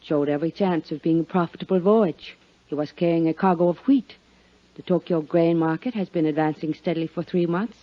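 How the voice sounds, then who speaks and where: A woman's voice: fairly low pitch, brisk, no room sound, close to an everyday voice. Coy, hard-headed businesswoman, played by a woman, vintage radio. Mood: guarded, cool. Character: cool, coy, hard-headed businesswoman, old flame of detective. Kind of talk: 1950s radio drama acting. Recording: muffled vintage 1950s radio recording.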